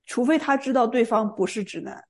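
A woman with a fairly high voice chats as a podcast co-host. She talks very quickly in an informal, conversational style. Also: animated. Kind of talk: casual chat.

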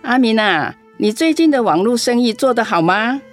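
A woman's voice: fairly high pitch, lively intonation, moderate pace, soft background music, lightly acted. An actress playing a chatty, frank and caring elderly neighbour woman in a radio drama. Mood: friendly.

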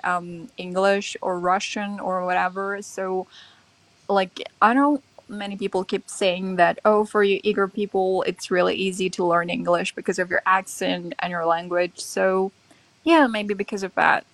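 A woman's voice: mid-range voice, steady tone, unhurried, fairly bright tone, poor audio. A woman from China chatting casually in English on a livestream, easygoing and teasing. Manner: laughs often, easygoing and teasing. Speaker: guest. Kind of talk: casual chat.

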